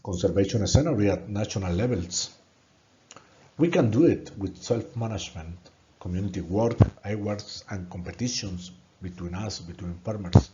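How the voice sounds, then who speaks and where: A man from Colombia with a Colombian Spanish accent, a native Spanish speaker giving an earnest, halting presentation in English and repeating words when stuck. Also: fairly low pitch, warm tone, dull audio, a little hiss or hum. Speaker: student presenting a course project. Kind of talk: prepared presentation narration.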